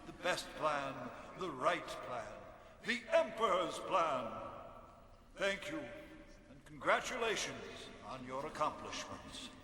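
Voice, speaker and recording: A stern Imperial academy officer in an audio drama. The voice rings through a long, echoing hall with faint noise behind it. It is forceful, fairly high for a man, and declaims with military authority. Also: very animated, takes it slowly, exaggerated voice. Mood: rousing, stern.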